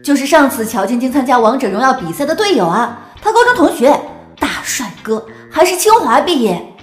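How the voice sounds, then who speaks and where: An excitable young woman gossiping online, very high and swooping in pitch. She is emphatic and opinionated, in a roomy audio drama sound with music. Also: a little fast. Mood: emphatic impatience. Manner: emphatic, exclamatory.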